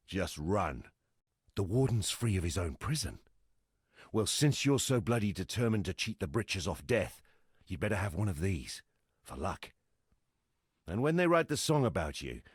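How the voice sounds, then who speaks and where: Weary fantasy game survivor, very low gravelly voice, salty, wry, grimly practical, swinging intonation. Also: male voice, no echo, clean studio sound, exaggerated voice. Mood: grim advice, then grudging warmth. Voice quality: gravelly, husky. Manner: rough, plain-spoken, salty, wry. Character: gruff, weary male exile fighter, an ex-smuggler camp leader, grimly practical. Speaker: non-player quest character. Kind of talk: fantasy video game dialogue.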